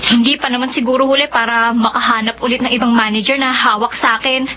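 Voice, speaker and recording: A woman's voice: fairly high pitch, fairly even intonation, fast, bright, forceful tone. A woman playing a dutiful, principled young daughter in a Filipino radio drama, earnest and emotive. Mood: indignant, firm. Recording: dull lo-fi radio audio, noisy ambience.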